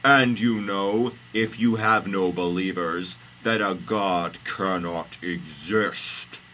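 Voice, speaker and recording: Man doing pompous, loud scolding god. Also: low-pitched, takes it slowly, slight background noise, exaggerated voice, poor audio. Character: grand, scolding male God in canine form.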